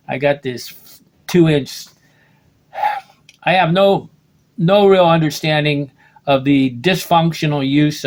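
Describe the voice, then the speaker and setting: A man in his late sixties from the United States, talking through a rambling personal video monologue, often restarting sentences and checking in with "okay?". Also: mid-range voice. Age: 60s. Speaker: video blogger.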